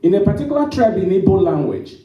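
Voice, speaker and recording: A man, a storyteller, giving a halting, then emphatic, speech to an audience. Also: high voice, sing-song, warm tone, muffled recording. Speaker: storyteller speaking at a summit. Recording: echoey hall, mic from a distance.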